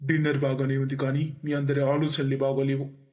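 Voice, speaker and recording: A man's voice: mid-range voice, completely flat delivery, a little fast, a little mellow, slight background noise, lightly acted. A man voicing a principled, devoted middle-aged boss in a story, speaking firmly and earnestly. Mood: displeased.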